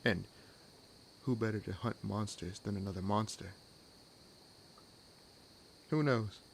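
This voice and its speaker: A man playing a wry, world-weary vampire hunter in an intimate audio roleplay, teasing and sardonic, with unhurried pauses. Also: lowish voice, creaky, slight background noise. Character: wry, world-weary one-armed male vampire hunting his own kind. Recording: nature ambience laid under voice. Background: forest ambience with crickets and insects.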